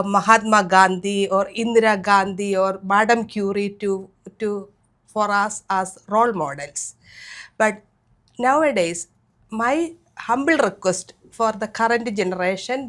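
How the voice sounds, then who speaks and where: A middle-aged woman hosts the interview in a mid-range voice. She rambles a bit, with lots of "you know" and "uh", as she introduces her guest. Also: mid-range voice. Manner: warm, rambling, many fillers. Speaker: host. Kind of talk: interview hosting.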